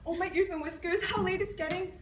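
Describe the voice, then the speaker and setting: Very high, flustered rabbit played by a woman, echoey. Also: steady tone, quick pace. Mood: anxious, flustered. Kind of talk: amateur readers theater performance. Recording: echoey room, distant mic, clear noise.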